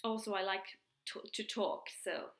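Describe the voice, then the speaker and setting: Bright Finland-Swedish woman, echoey room. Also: medium pitch, fairly even intonation, measured pace. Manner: hesitant, many fillers and restarts. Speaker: podcast host. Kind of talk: casual chat. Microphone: computer mic.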